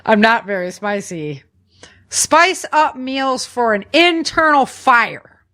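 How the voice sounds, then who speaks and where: A woman's voice: fairly high pitch, sing-song, slow, pushed and bright. A woman co-hosting a podcast, chatting informally as she explains practical tips. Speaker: podcast co-host.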